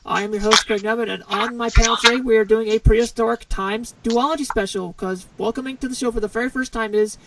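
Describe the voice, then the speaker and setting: Brief: podcast host, keen and chatty, introductions full of "uh", a little off-mic. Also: male voice, extremely high pitch, fairly even intonation, quick pace, creaky, hardly any pauses, far from the mic, background noise. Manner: enthusiastic introductions with fillers. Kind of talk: hosting a podcast interview.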